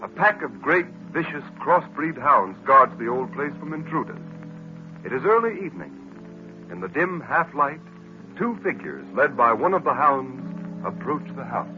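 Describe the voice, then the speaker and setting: A man announcing and narrating in a booming, emphatic 1940s radio style. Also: sing-song, normal speed, dull audio. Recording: old radio recording with music.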